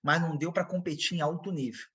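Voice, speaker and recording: A man's voice: on the high side, steady tone, fast talker, fairly bright tone, slightly rough, muffled recording. A Brazilian man, an MMA fighter, telling a long story in a casual interview, rambling and repeating himself as he quotes others. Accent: Brazilian Portuguese.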